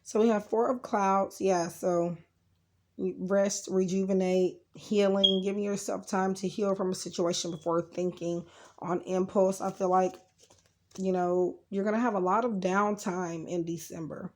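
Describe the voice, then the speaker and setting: A woman hosting a casual, unscripted tarot card reading in a rambling, informal way, her voice a little rough from being sick. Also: medium pitch, little pitch movement, unhurried, a little mellow. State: getting over sickness, slightly rough voice. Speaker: tarot card reader and channel host.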